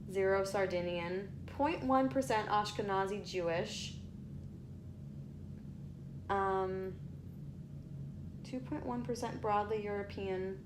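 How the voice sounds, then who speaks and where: A young woman talks casually to her viewers, reading out lines with chatty asides. Her voice sits at a middle pitch. A faint hiss lies under the home recording. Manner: chatty, reads aloud with asides, stumbles over place names. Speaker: vlogger. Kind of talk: casual vlog reading out results.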